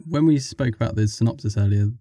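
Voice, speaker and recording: A man's voice: fairly low pitch, quick pace, dark tone, dry recording, studio quality. A man co-hosting a podcast, putting questions to a guest in a casual chatty interview. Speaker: podcast co-host interviewing a writer.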